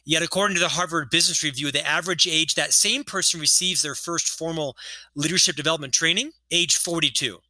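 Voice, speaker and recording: A man's voice: on the high side, brisk, sharp tone, no room sound, low-quality recording. Man in his fifties who stutters. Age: 50s. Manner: earnest storytelling, lectures with statistics. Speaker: author and podcast guest. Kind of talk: casual interview talk.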